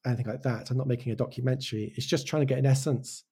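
An English-accented man with a soft, dark tone and a slightly rough edge talks casually as an interviewed creator. He circles back and restarts his thoughts, speaking with conviction about his own work. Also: medium pitch, steady tone, racing. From Wales. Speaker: animated show creator, interviewee. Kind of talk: casual interview answers, reflective.

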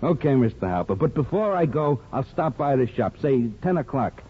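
A man's voice: medium pitch, very animated, moderate pace. An actor playing a cocky, sardonic tough guy with a menacing, needling manner in a 1950s radio drama. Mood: sly, taunting. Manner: sardonic, taunting. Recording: dull, husky-sounding old radio recording.

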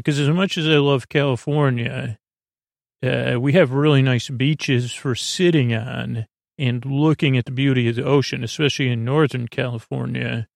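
A man hosting a sleep podcast in a meandering, halting monologue that keeps drifting into digressions and false starts. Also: mid-range voice, creaky, dry recording, studio quality. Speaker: podcast host.

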